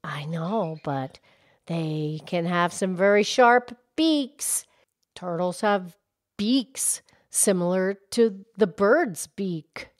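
Woman voicing a quiet, measured cow puppet. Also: fairly low pitch, expressive. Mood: matter-of-fact. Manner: quiet, measured, matter-of-fact. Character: calm, gentle, sensible cow puppet, supportive friend. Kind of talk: children's puppet show dialogue.

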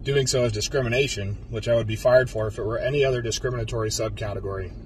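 An American man in his thirties, a police officer, giving a solemn, deliberate prepared speech to camera in a car. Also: medium pitch, fairly even intonation. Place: inside a car. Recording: slightly distant mic, faint car noise.

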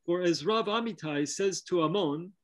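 A middle-aged man delivers scholarly narration over a video call. His voice sits a little high, and his phrasing is erudite and wry, like a presenter reading a prepared lecture. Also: moderate pace, a bit bright. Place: home study with bookshelves, indoors.

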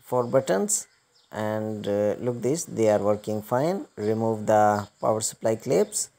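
A man giving step-by-step hands-on repair instructions in a halting, repetitive way. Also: on the low side, expressive, vocal fry, mellow. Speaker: repair tutorial presenter.

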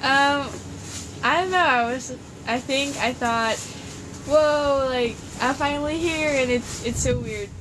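A woman's voice: sits high, slow pace. Giggly Danish teen girl chatting outdoors. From Denmark. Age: teens. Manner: giggly, chatty, many "like" fillers. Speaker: exchange student vlogger. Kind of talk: casual chat. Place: outdoor porch by woods. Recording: outdoor ambience noise.

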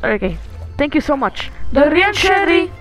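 A woman's voice: sits high, sing-song, normal speed, a bit bright, faint music behind. A woman playing friendly, easygoing cartoon characters in an amateur dub, with a casual, playful manner.

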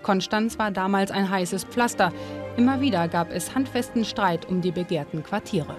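A woman narrating a documentary and interviewing, measured and clear. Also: medium pitch. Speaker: documentary presenter. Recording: music bed under voice.

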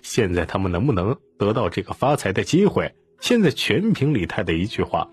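A man's voice: fairly low pitch, very animated, brisk, husky. A man reading an audiobook as a scripted, dramatic story narration.

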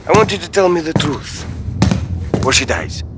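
An actor playing a cruel, condescending Russian army officer with a Russian accent in a video game cutscene. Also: male voice, a little high, animated, heavy background noise, natural voice. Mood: cold menace. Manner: slow, menacing, condescending. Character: cruel, menacing male Russian army officer interrogating prisoners.

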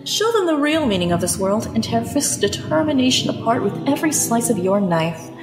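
A woman's voice: on the high side, big pitch swings, brisk. A woman playing a bitter, bloodthirsty follower in a comic dub, venomous and dramatic. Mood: vengeful. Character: bitter, bloodthirsty follower sick of good winning. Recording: echoey reverb, music behind.

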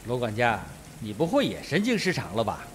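A man plays an army colonel in a radio mystery. He is hearty and wry, mid-pitched and animated. Rain noise is clear behind the voice. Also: fairly quick. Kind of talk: Mandarin radio drama acting.

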